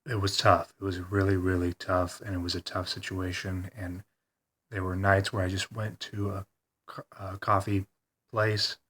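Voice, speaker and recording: A congested man talking hesitantly in a rambling personal video diary, full of pauses and ums. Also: deep voice, fairly bright tone. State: congested, stuffed-up nose. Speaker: vlogger.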